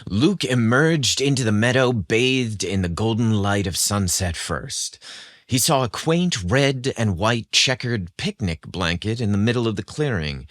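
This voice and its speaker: A man's voice: on the low side, a bit bright, no room sound, studio quality. A man narrating a scripted story with dramatic expression.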